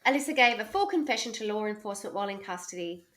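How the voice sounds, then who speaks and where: A woman's voice: medium pitch, animated, very fast, a loud crowd. A woman hosting a podcast, telling a true crime story in a chatty way and laughing often. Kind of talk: casual chat, storytelling.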